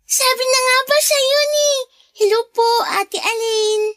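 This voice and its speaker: A very high, childlike voice of an eager young girl. She is deferential and sweet, in radio drama style with a dry, close sound. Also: measured pace, fairly bright tone, no room sound. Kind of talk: Filipino radio drama acting.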